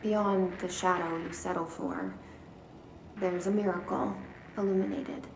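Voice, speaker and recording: An actress playing a troubled, earnest young female patient in a game, halting yet intense and determined. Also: on the low side, steady tone. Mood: haunted, halting. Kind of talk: video game cutscene acting. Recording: roomy, distant, noisy.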